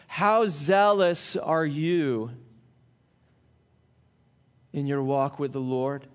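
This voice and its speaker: A man preaching a sermon, reading scripture slowly and then talking in a conversational way with small asides to the congregation. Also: a little high, steady tone. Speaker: pastor.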